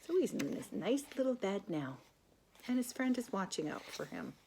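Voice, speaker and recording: An older woman reads a children's picture book aloud in a gentle, expressive way, with asides to a young listener. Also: medium pitch, normal speed, noisy background. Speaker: grandmother storyteller.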